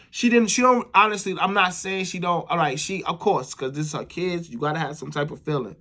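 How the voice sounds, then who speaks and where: A man's voice: high-pitched, pushed and bright. An American man giving casual, opinionated commentary as a YouTuber, repeating phrases for emphasis and often checking in with the listener. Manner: repeats phrases for emphasis, frequent "you know what I'm saying" tags.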